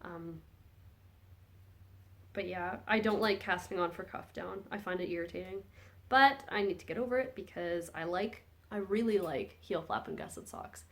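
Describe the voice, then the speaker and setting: A woman's voice: lowish voice, normal speed, a bit bright. A woman hosting a podcast in casual chat, rambling and correcting herself as she goes, her voice phlegmy from a lingering cold. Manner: rambling, chatty, self-correcting. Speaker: podcast host. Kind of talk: casual chat about knitting projects.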